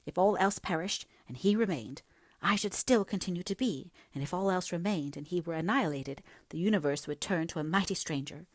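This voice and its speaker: A woman voicing a passionate, headstrong young gentlewoman in a dramatic, emphatic audiobook reading, breathy at times. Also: sits low, lively intonation, normal speed, dry recording, clean studio sound. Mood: impassioned.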